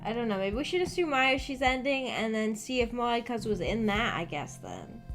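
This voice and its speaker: A woman's voice: fairly high pitch, sing-song, moderate pace, a bit bright. A young adult American woman chatting casually with viewers on a livestream, often hesitant and thinking aloud as she goes. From United States. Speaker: live streamer, visual novel book club host. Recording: background music under the voice. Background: background music.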